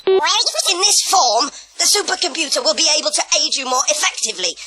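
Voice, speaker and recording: A woman's voice: high voice, sing-song, fairly quick, background noise. A woman voicing a formal, literal robot that stutters and repeats its words like a malfunctioning machine, in an audio drama. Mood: glitching formal.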